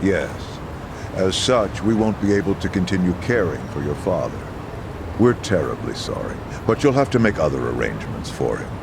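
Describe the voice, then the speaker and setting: Deep, businesslike care manager. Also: male voice, very animated, moderate pace, vocal fry, noisy background, exaggerated voice. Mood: formal, apologetic. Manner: formal, polite, firm. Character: businesslike male care home manager, formally apologetic.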